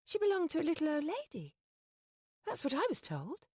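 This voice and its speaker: Brief: woman playing a flustered, earnest young lady, high and fluttery, lively swings, audiobook. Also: moderate pace, dry recording, studio quality.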